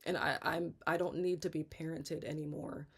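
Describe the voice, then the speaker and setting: A congested woman in her thirties talking candidly in a personal vlog, halting and rambling, often restarting and trailing off. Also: low voice, steady tone, slightly rough. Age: 30s. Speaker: vlogger.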